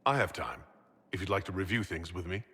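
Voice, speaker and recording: Fantasy game leader: calm, reassuring, dryly wry man, husky tone with long hall reverb. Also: sits low, fairly quick, full character voice. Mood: wry, kind. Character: calm, considerate male leader hero, lightly wry. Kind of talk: video game character dialogue.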